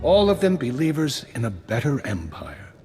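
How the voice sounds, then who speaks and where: An actor playing a shrewd, formal, dryly polite retired spymaster in a science-fiction video game. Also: male voice, mid-range voice, huge pitch swings, normal speed, mellow, faint music behind. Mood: measured, grave. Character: shrewd, unflappable male retired imperial spymaster. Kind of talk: scripted video game acting.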